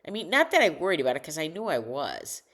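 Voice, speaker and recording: An older woman with a deep, low alto voice rambles into a desk microphone at home. She keeps stopping, restarting and correcting herself as she chats in a loose personal monologue. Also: big pitch swings, a bit bright. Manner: rambling, halting, many restarts and self-corrections. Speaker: vlogger.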